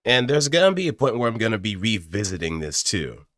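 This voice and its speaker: A man's voice: on the low side, sing-song, fairly quick, a bit bright, no room sound. A man talking casually and thinking aloud as he explains his ideas like an amateur voice actor, on a close microphone. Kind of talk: casual improvised explanatory commentary. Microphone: close studio microphone through an audio interface.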